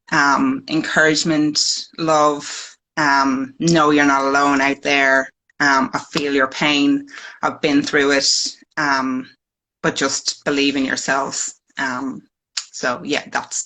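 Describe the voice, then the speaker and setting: Woman, Irish accent, forties, low pitch, hesitant with many ums, phone mic. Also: bright, forceful tone, rough recording. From Ireland. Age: 40s. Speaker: guest. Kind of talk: personal story on a live stream.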